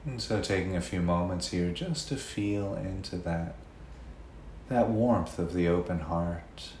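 A man leading a guided meditation in a gentle, unhurried way, leaving long pauses between phrases. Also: low voice. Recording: roomy echo, faint background noise.

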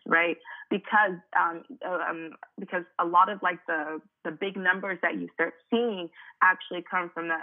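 Brief: young Cameroonian-born woman, French native, chatty guest full of fillers, lowish voice, thin phone audio. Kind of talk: casual interview conversation. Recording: tinny phone-like line.

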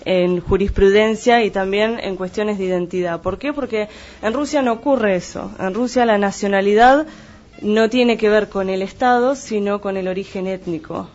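Dull, lo-fi audio carries a mid-pitched Argentine Spanish voice. The speaker explains in a conversational but didactic way, clarifying terms one step at a time. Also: normal speed, darkish tone.

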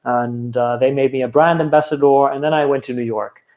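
A man's voice: medium pitch, fairly even intonation, fairly quick. Earnest German-accented man, lo-fi sound. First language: German or Italian. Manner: earnest, candid, with frequent restarts and repetitions. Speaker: speaker telling his life story. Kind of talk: personal story talk to an audience. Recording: dull lo-fi sound.

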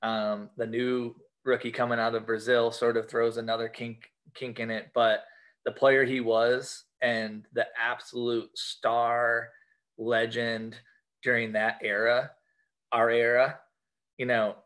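An American man chatting casually as a podcast guest, talking haltingly and often repeating and rephrasing his phrases. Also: mid-range voice, little pitch movement, a bit bright. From United States. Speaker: podcast guest, sports card collector. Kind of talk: casual interview chat.

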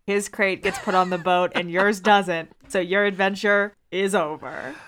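A woman's voice: mid-range voice, steady tone, measured pace, fairly bright tone. A woman chatting casually as a podcast co-host, recounting things with wry, sardonic asides. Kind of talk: casual chat, recounting a gamebook playthrough.

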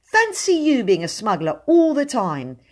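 A woman's voice: fairly low pitch, very animated, a little slow. A woman voicing a bossy, swaggering small boy in a storybook, with a dramatic, self-important manner. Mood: stern. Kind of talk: storybook character voice.

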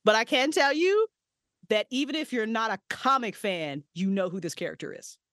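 A young woman voice actress talks on a podcast from her home recording booth, loose and animated, with plenty of swearing and laughter. Her delivery rises and falls a lot, and the close mic leaves her sound dry and dead. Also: fairly high pitch, moderate pace, no room sound. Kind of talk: casual chat.

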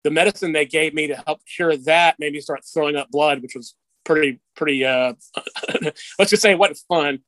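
A middle-aged man with a fairly high voice, recorded close and dry. He talks casually and candidly, like a guest sharing personal anecdotes, with a self-deprecating streak. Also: normal speed, fairly bright tone, dry recording, poor audio. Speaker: interview guest. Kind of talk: casual conversational storytelling.

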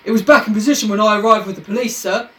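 A woman's voice: mid-range voice, very fast, fairly bright tone, reverberant, distant mic, lightly acted. A woman voicing a deferential male butler, respectful and factual in manner.

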